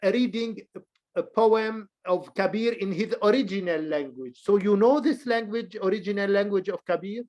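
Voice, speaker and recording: A man's voice: sits high, moderate pace, a little mellow. A man hosting an interview, asking short questions and murmuring assent while the guest talks. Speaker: interview host.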